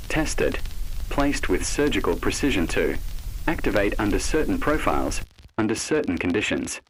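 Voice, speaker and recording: A man reading a scripted analog horror narration in a measured, cryptic way, with short phrases and deliberate pauses. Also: fairly low pitch, slight background noise, rough recording. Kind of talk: scripted horror narration, ominous second-person address.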